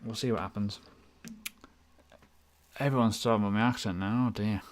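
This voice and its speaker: A man's voice: fairly low pitch, steady tone, normal speed. Chatty man on a livestream. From United Kingdom. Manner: chatty, self-deprecating, rambling with asides. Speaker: crypto livestream host. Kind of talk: casual live Q&A chat.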